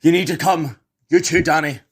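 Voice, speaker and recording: A man's voice: on the high side, fairly even intonation, normal speed, husky. A man playing a breathless, alarmed guard in a zombie audio drama. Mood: panicked.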